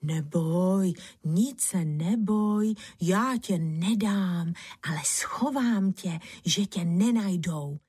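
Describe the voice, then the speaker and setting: A woman's voice: fairly low pitch, darkish tone, husky. A woman voicing a sly, scheming peasant husband in a storybook tale. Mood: soothing. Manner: scheming, reassuring. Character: sly peasant husband, trickster fooling his wife.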